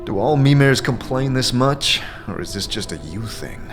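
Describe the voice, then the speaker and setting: A man playing an amnesiac wanderer in a fantasy audio drama, exasperated and halting, full of urgent questions. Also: mid-range voice, lively intonation, music in the background, natural voice. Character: exasperated amnesiac male wanderer, searching for answers.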